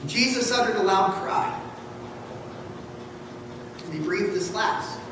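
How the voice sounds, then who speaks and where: A pastor, a man, gives a dramatic scripture reading with a theatrical, deliberate, projected delivery in a church. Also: sits high. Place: large church interior. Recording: echoey church hall from far off, noisy.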